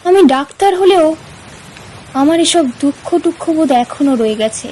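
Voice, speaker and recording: A woman's voice: high voice, animated, unhurried, a little mellow, a little hiss or hum. A woman narrating a scripted horror story for an audio story production.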